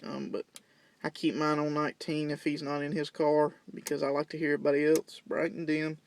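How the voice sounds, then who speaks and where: A man's voice: on the high side, completely flat delivery, fairly quick. A young man giving a casual, plain-spoken, unscripted how-to walkthrough, explaining things step by step. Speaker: CB radio hobbyist giving a demonstration. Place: in and around parked vehicles at home.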